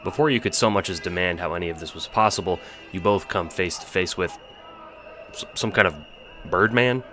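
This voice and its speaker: A man's voice: sits low, brisk. A man narrating an audio drama in a dramatic, scripted storytelling style, with music behind him.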